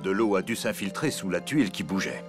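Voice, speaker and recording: A man's voice: deep voice, lively intonation, fairly quick. A man playing a cheerful, boastful elephant dad in a children's cartoon, reassuring and theatrical. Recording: music behind the voice.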